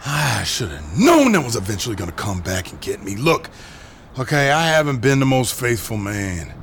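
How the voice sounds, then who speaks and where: An actor playing a flustered, gullible cheating husband type in an audio drama, excitable and colloquial. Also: male voice, medium pitch, moderate pace, a little hiss or hum. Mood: dismayed, rattled.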